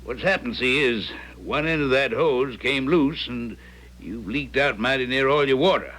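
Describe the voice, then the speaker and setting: Small-town garage owner for radio drama male actor: American, folksy, unhurried, medium-pitched, plain-spoken. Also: fairly bright tone, natural voice, low-quality recording. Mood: folksy, grave. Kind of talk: 1970s radio drama acting.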